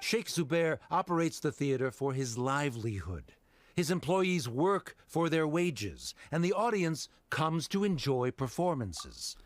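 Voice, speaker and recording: A man's voice: a little high, sing-song, a little slow, no echo. A man playing a pompous, coldly logical middle-aged scholar in an anime game, speaking in formal, pedantic speeches.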